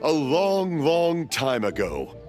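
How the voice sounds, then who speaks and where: A man's voice: on the high side, sing-song, takes it slowly, music in the background. An actor playing a jovial, hard-of-hearing old father and proud fireworks craftsman who rambles and gets confused in a video game. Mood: storytelling. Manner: rambling storyteller, easily confused. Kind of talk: English video game voice acting.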